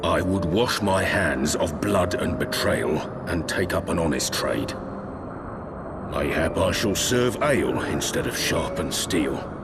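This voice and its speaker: An actor playing a weary, disillusioned knight in a fantasy game, gravelly, with archaic dramatic phrasing. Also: male voice, deep voice, animated, slow, heavily acted. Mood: bitter, weary. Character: weary, disillusioned male knight renouncing war. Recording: loud music behind the voice.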